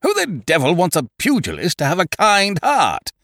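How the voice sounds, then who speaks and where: A man voicing a blustering male schemer who booms and rants in exasperation. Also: huge pitch swings, normal speed, fairly bright tone, no room sound, clean studio sound, heavily acted. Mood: exasperated. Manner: booming, exasperated ranting. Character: blustering loud male schemer, booming and indignant.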